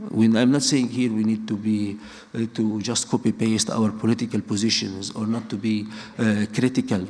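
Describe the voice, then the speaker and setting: A man's voice: fairly low pitch, a little mellow. A middle-aged man who grew up in Lebanon and speaks natively, giving an earnest public talk with frequent restarts and repeated phrases. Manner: earnest, building points through repetition, frequent restarts.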